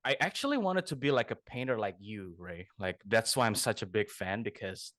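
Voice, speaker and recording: A man's voice: on the low side, animated, moderate pace, a bit bright, dry recording, studio quality. A young man from Indonesia, a guest artist chatting casually in an interview, rambling and correcting himself as he goes. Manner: rambling, self-correcting, laughs often.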